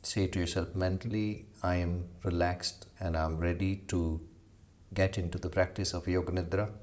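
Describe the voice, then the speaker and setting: A man leading a guided meditation as a yoga instructor, giving slow, measured instructions with long pauses. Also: sits low, little pitch movement, a little mellow.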